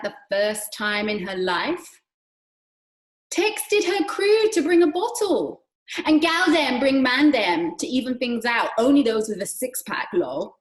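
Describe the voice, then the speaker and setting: A woman giving an expressive, dramatic literary reading that moves between hushed and emphatic delivery. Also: a little high. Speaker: actor reading from a novel. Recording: echoey room.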